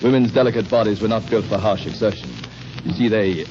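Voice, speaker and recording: An actor in an old radio drama playing a controlling, courtly rich recluse with formal, condescending speech. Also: male voice, fairly low pitch, brisk, music in the background, lightly acted. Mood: condescending, soothing. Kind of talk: 1930s radio drama acting. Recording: noisy, dull 1930s radio recording.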